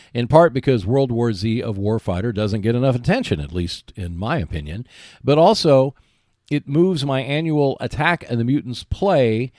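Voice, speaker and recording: A man hosting a solo podcast about board games, speaking in measured phrases with emphatic stresses. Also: medium pitch, a little mellow, no room sound, studio quality. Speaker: podcast host.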